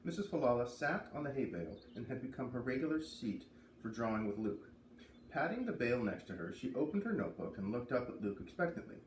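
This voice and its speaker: A man's voice: mid-range voice, sing-song, fast. Man reading aloud in an echoey room. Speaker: read-aloud host. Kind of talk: children's book read-aloud narration. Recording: echoey room, distant mic, faint noise.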